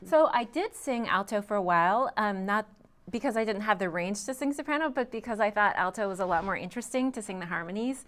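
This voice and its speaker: A woman's voice: mid-range voice, lively intonation, fast, fairly bright tone. A young adult woman, a trained singer, answering interview questions thoughtfully with frequent ums and restarts. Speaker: guest vocalist.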